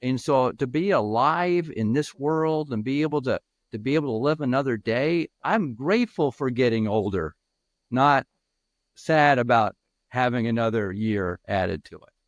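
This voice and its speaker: A man over fifty answering interview questions as a peer mentor, speaking earnestly and haltingly with many restarts. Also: medium pitch, expressive, dry recording.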